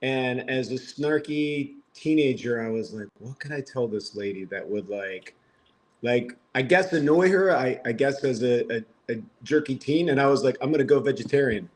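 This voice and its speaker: A man's voice: medium pitch, moderate pace, a little hiss or hum. A man in his fifties from the Philadelphia area, a podcast guest telling stories with wry, self-mocking humor. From Philadelphia area, USA. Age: 50s. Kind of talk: casual podcast conversation.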